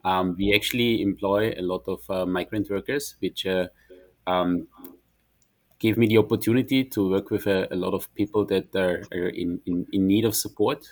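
Earnest low-voiced man practitioner. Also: steady tone, darkish tone, dry recording. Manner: earnest, halting. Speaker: guest, industry practitioner. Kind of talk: podcast interview.

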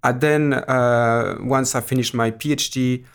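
A middle-aged man from Switzerland whose first language is French talks with a clear French accent. His voice sits in a mid range, and he explains his work in a hesitant way, full of fillers and small restarts. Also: mid-range voice, fairly even intonation, a little slow. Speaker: guest, academic. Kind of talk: podcast interview.

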